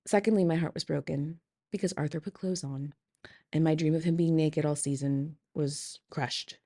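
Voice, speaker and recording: A woman's voice: low voice, fairly even intonation, moderate pace, dark tone. A woman leaving a recorded listener message, giving thoughtful, enthusiastic commentary in a casual way.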